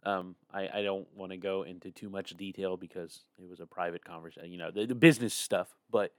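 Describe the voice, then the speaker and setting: Young male co-host, tired and rambling, lowish voice, dry recording. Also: steady tone, fairly quick, no room sound. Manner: rambling, laughs often, yawning. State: tired, getting over a cold. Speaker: podcast co-host. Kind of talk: casual chat.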